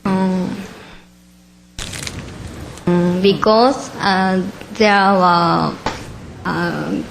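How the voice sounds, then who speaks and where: Very slow, halting Japanese teenage girl. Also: fairly low pitch, fairly even intonation, creaky, rough recording. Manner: very hesitant, broken English with long pauses. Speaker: exchange student. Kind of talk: halting self-introduction. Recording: faint background noise, room ambience.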